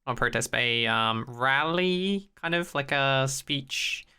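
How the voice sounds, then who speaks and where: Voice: medium pitch, lively intonation, takes it slowly, bright, forceful tone, clear, resonant tone. A podcast co-host in casual discussion, giving opinions emphatically with slang and swearing.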